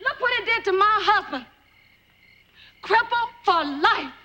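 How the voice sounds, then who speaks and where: An actress playing a bitter, outspoken, plaintive railroad worker's wife in a television folk-tale drama. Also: female voice, very high-pitched, animated, a little slow, sharp tone, lo-fi sound, exaggerated voice. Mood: aggrieved. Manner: impassioned, plaintive.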